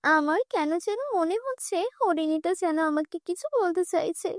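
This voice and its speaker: A gentle, kind young cartoon heroine, voiced by a woman with a very high, bright voice. She speaks softly and earnestly in a dry studio recording. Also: animated, normal speed, no room sound. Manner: soft, earnest. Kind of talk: cartoon dubbing.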